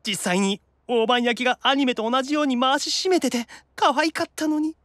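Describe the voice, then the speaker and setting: A man playing an excitable, boyish otaku demon brother, animated and reactive, in a Japanese anime-style audio drama. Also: extremely high pitch, no echo, studio quality. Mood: gushing, sulky. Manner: animated, reactive, fast-gushing fan talk.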